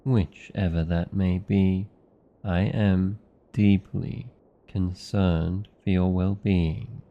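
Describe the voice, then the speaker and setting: A man with a British accent playing a haughty, weary vampire lover in an intimate ASMR roleplay, speaking in a deliberate, theatrical, condescendingly affectionate way. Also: low-pitched, fairly even intonation, phone-quality sound. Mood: stern concern. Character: possessive, weary male vampire lover, haughty toward mortals.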